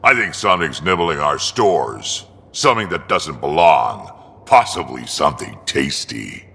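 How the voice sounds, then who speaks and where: Grizzled alien veteran for a video game: rock-bottom gravel voice, blunt, dry-humored. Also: male voice, a bass voice, sing-song, a little slow, bright, forceful tone, big-room sound, heavily acted. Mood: suspicious. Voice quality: gravelly, growling. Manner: blunt, sardonic. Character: gruff, grizzled old male alien warrior, blunt and wry. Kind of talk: video game character acting.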